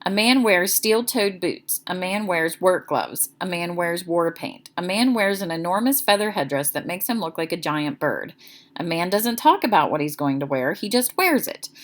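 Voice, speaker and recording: Animated woman reading a children's story. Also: fairly low pitch, normal speed. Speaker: read-aloud narrator. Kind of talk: expressive children's story narration.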